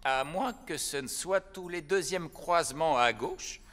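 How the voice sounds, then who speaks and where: A man voicing a self-important, excitable grown elf in a French children's cartoon, with pompous, emphatic delivery. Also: on the high side, measured pace. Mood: confident. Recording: music behind the voice.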